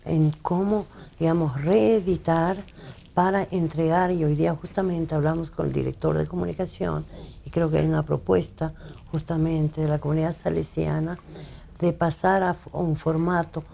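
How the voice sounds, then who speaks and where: A woman's voice: deep voice, fairly even intonation, moderate pace, gravelly, dark tone. A woman who directs a heritage institute answering interview questions, often hedging with fillers.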